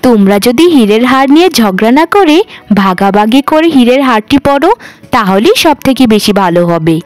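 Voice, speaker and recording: Casting: woman playing dutiful youngest cartoon daughter, high register, gentle and conciliatory, dry recording with faint music. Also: expressive, moderate pace, dry recording, lightly acted. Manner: earnest, conciliatory. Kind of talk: cartoon voice acting.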